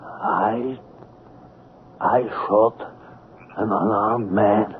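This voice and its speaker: An actor playing a frightened, guilt-ridden colonial trading agent going mad, with theatrical, halting delivery in an old radio drama. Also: male voice, on the low side, fairly even intonation. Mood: stunned. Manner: theatrical, halting, breaking into gasps and cries. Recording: noisy, band-limited tinny vintage radio broadcast.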